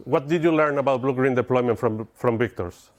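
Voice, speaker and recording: A man's voice: mid-range voice, a little fast, muffled recording. A middle-aged man with a Spanish accent, giving an informal technical talk to an audience in a conference room. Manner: informal, explanatory, addresses audience with questions. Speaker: conference speaker.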